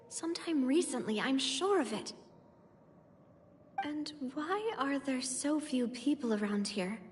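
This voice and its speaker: A woman's voice: sits high, long reverb tail, a little hiss or hum. A woman voicing a polite, thoughtful young lady in a video game, often hesitant and musing. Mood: puzzled.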